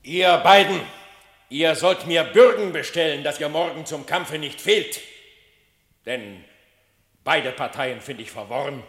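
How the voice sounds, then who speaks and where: An exasperated lion king in a radio play, slightly high-pitched. He issues commands from a roomy space with a long reverb behind him. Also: unhurried, long pauses, fairly bright tone. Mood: commanding.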